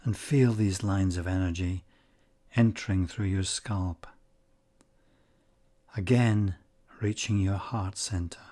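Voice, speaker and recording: Slow, mellow-voiced man guiding meditation. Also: low-pitched. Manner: calm, measured, short phrases with long pauses. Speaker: meditation guide. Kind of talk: guided meditation narration.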